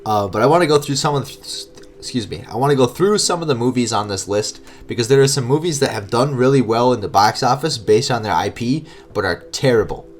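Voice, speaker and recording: A man's voice: medium pitch, lively intonation, fairly quick, soft background music. A man with a stuffed-up, nasal voice co-hosting a podcast in casual, chatty style. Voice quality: nasal, congested. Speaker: podcast co-host.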